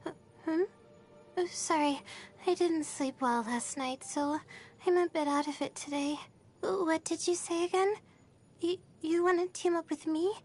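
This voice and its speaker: A woman's voice: extremely high pitch, measured pace, darkish tone, faint music behind. A woman voicing a sleepy, anxious young student stargazer in an anime game, hesitant and drowsy. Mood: apologetic, flustered. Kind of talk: anime video game voice lines.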